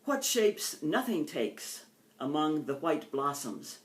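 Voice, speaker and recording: An older woman with an American accent, a poet reading her own poems in deliberate phrases and introducing them informally. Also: deep voice, big pitch swings. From United States.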